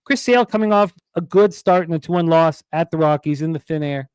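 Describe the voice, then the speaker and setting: A man hosts a sports betting broadcast, his voice a little high and smooth. He runs through odds and stats in a steady patter with filler words. The sound is dry and close to the mic. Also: fairly quick, dry recording. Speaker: sports betting show host. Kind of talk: sports betting radio talk.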